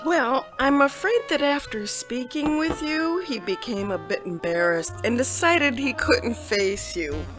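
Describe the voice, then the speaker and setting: A woman's voice: fairly high pitch, lively intonation, unhurried, hardly any pauses, over music. A woman playing a sultry, poised femme fatale wife in a parody noir radio drama. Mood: falsely apologetic.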